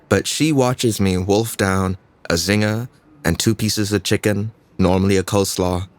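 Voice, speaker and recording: A man's voice: low-pitched, no echo. A young man performing a scripted dramatic monologue as a troubled, introspective student telling his own life.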